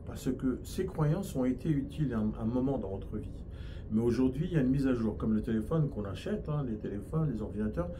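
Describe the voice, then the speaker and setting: Man, French accent, trainer explaining at length, mid-pitched voice, noisy background. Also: lively intonation, brisk. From France. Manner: explains at length with frequent restarts and fillers. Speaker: hypnosis and NLP trainer. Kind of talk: explanatory interview answers.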